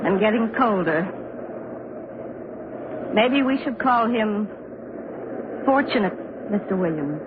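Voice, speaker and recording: An anxious pioneer mother, worn down and pleading. Her middle-pitched voice has the plaintive style of old radio drama. The recording is muffled, with clear noise behind it. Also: expressive, slow, long pauses. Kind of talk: 1940s-50s radio drama acting.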